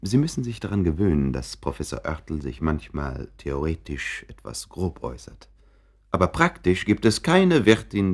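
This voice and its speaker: A man's voice: extremely low pitch, a little mellow, exaggerated voice. A man playing a chatty, confiding narrator in a radio play, musing and interrupting himself with asides. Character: chatty, confiding male narrator showing listeners around an apartment.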